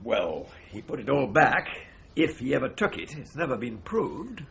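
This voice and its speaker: An actor playing a testy, defensive solicitor in a 1980s radio drama, with a gravelly, guarded voice. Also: male voice, medium pitch, big pitch swings, moderate pace, fairly bright tone. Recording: slightly dull 1980s radio recording.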